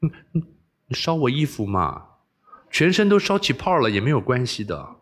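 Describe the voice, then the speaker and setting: A man's voice: mid-range voice, very animated, moderate pace. A man voicing a spoiled, wilful young princess, coaxing, bossy and playful, in audiobook storytelling. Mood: giggly, coaxing. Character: spoiled, wilful young princess who relishes being scolded.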